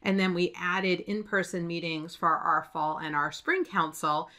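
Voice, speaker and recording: A woman's voice: on the low side, fairly even intonation, measured pace, pushed and bright. A middle-aged American woman giving an informal, chatty update to camera as the presenter of a professional blog. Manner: chatty, conversational. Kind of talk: informal talking-head update. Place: indoors, plain backdrop.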